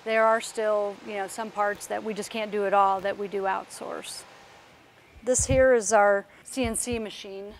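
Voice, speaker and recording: A woman with a middle-pitched voice walks through a large aircraft workshop, explaining each part as she goes. She talks in a plain, informative way. Faint workshop ambience sits under her voice. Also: fairly even intonation, measured pace, a little hiss or hum, poor audio. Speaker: factory tour guide. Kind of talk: walking factory tour.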